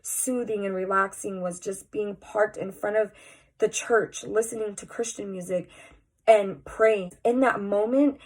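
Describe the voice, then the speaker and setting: A young woman talks straight to her camera, her voice stuffy and a little nasal from a cold. She speaks candidly and earnestly, in a loose conversational way, as if confiding in her followers. Her pitch sits in a middle range for a woman. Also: steady tone, measured pace, a little mellow. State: congested, sounds nasal from a cold. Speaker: vlogger. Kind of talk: personal confessional vlog.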